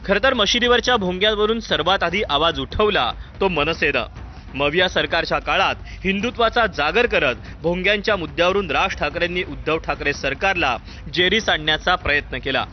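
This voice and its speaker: A man's voice: high voice, normal speed, a little hiss or hum. A man reads scripted news narration in a dramatic style. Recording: slightly dull sound over music. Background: background music.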